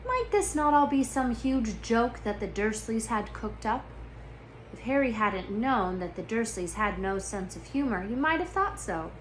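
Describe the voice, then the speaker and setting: A woman's voice: on the high side, animated, a little slow, slight background noise, low-quality recording. A woman reading a storybook aloud expressively, as a storyteller to listeners.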